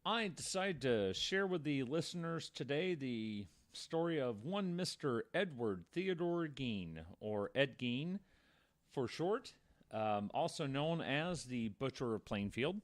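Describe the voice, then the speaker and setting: A man's voice: medium pitch, expressive, slow. A man co-hosting a podcast, telling true-crime stories in a conversational way with wry, darkly joking asides. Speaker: podcast co-host.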